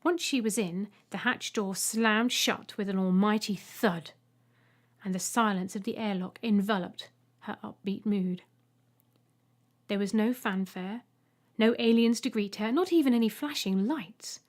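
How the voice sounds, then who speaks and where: A woman reading a scripted short story as an audiobook narrator, with expressive storytelling. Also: mid-range voice, a little slow.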